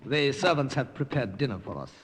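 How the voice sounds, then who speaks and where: Actor as formal, lively-pitched wartime underground major. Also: male voice, fairly quick, natural voice. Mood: gracious hosting. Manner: polite, stiffly formal phrasing. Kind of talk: 1950s radio drama acting. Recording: noisy old radio recording with clicks.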